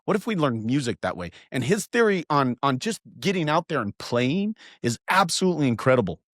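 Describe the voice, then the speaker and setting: A man around fifty, a podcast guest, telling a story in an animated, earnest way and pressing his points with emphasis. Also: fairly high pitch, fairly quick, no echo, studio quality. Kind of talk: casual podcast conversation.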